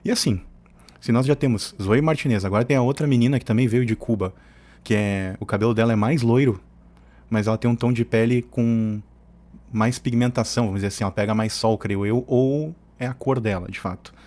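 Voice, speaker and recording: A man's voice: mid-range voice, brisk, a little mellow. A man from hosts an informal commentary. He is opinionated and colloquial, wandering off into asides as he argues his points. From southern Brazil. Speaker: host of an opinion channel.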